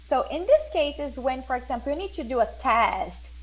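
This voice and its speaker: Slightly high-voiced woman giving friendly advice. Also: big pitch swings, moderate pace, slight background noise. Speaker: English teacher, podcast guest. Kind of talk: casual chat giving learners advice.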